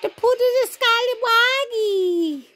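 Woman doing a squeaky, silly baby-talk voice. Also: a bit bright, heavily acted. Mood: silly, doting. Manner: drawn-out, sing-song.

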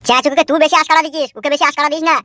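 Man acting grumpy, fast-talking cartoon village husband. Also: very high-pitched, steady tone, pushed and bright, no echo, clean studio sound. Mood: annoyed. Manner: bickering, blunt, repetitive. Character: grumpy, foul-mouthed village husband who wheedles his wife. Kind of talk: cartoon comedy dialogue.

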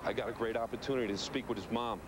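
A man in his thirties gives an interview, telling an anecdote at a quick pace. It is recorded outside with very loud background noise. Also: medium pitch, steady tone. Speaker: firefighter football player. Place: outdoors at a sports field. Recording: very noisy outdoor interview.

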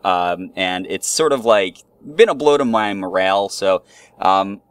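A man's voice: low voice, expressive, unhurried, smooth, no room sound. A man talking casually as a video host, in a hesitant, self-deprecating manner. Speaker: YouTube host, amateur voice actor. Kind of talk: casual vlog talk.

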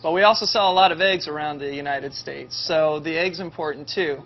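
A man's voice: on the high side, fairly quick. A man in his forties, an egg farmer plainly explaining things to students in a slightly dull recording. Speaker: third-generation egg farmer.

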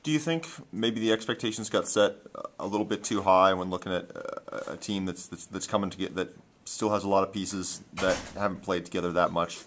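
A man's voice: sits low, brisk, few pauses, a bit bright, slight background noise. A man, a reporter, asking questions at a press conference.

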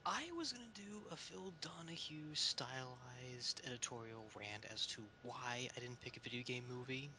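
A woman's voice: a bass voice, moderate pace, few pauses, bright, forceful tone, a little hiss or hum. A woman speaking as a panelist on an online call, delivering opinions in an emphatic, theatrical editorial style. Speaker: talk show panelist.

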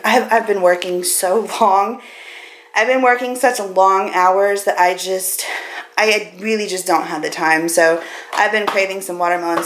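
A woman's voice: mid-range voice, slight background noise, poor audio. A woman in her thirties with an American accent, chatting informally and rambling as she vlogs in her kitchen on a handheld camera. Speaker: vlogger. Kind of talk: casual grocery haul vlog chat. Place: home kitchen, indoors. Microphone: camera mic, handheld at arm's length.

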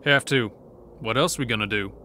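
A man's voice: mid-range voice, moderate pace, bright, forceful tone, slight background noise, lightly acted. An actor playing a practical, cautious man taking charge in a horror audio drama. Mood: grave, practical.

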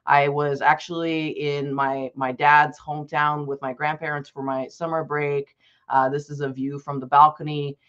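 A man's voice: fairly high pitch, flat delivery, moderate pace, a bit bright. A man in his twenties from Bulgaria giving a presentation to students, telling a personal story in an earnest, conversational way with many restarts and fillers over a video call. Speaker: advocacy specialist presenting to students. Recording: dull lo-fi video call audio.

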